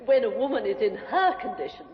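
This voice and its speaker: A prim, nosy neighbor testifies in a high, lively voice full of indignant certainty. The sound is old and dull, ringing in a long, roomy echo. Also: female voice, brisk. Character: eager, prim middle-aged neighbor on the witness stand. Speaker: witness. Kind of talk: 1950s radio drama acting. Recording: dull echoey old radio recording.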